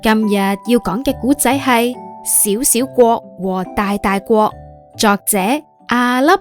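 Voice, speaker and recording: A woman's voice: fairly high pitch, slow pace, soft background music. A woman with a Hong Kong accent narrating a scripted children's story in a simple, explanatory way.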